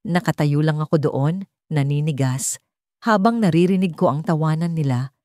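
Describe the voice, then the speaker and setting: Low, mellow woman narrator, dry recording. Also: moderate pace, no room sound. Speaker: storyteller, narrator. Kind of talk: first-person scripted narration of a romantic heartbreak story.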